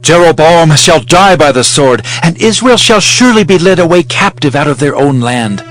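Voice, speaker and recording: A man's voice: fairly high pitch, lively intonation, moderate pace, fairly bright tone, faint music behind. A man playing a hostile, haughty court priest who declaims accusingly in a dramatized scripture reading.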